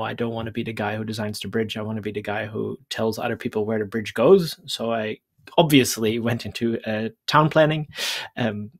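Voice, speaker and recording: Gravelly-voiced Dutch man on a video call. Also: medium pitch. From Netherlands. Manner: relaxed, conversational, thinking aloud. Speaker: guest, bicycle planner. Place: home room, indoors. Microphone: headset on video call.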